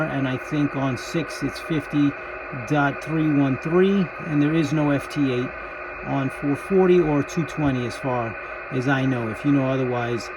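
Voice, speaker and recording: A man's voice: medium pitch, background noise. A man who makes amateur radio videos, speaking casually as he narrates and operates.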